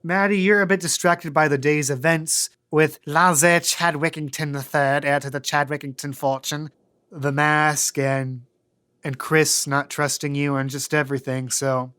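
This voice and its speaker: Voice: mid-range voice, steady tone, moderate pace, fairly bright tone. A game master narrating and refereeing a tabletop role-playing podcast.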